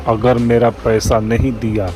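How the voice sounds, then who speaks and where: Cartoon casting: greedy old moneylender played by a man, menacing, slow delivery over light music. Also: mid-range voice, flat delivery, lightly acted. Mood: stern, threatening. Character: greedy, threatening old male village moneylender. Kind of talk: cartoon moral-story character acting.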